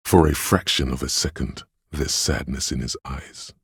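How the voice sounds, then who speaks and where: A man voicing a game's inner voice of empathy, a gentle, perceptive, sorrowful narrator who speaks in a measured, solemn, intimate way. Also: a bass voice, steady tone, husky, dry recording, clean studio sound, exaggerated voice. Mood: tender, perceptive. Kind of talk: scripted second-person game narration.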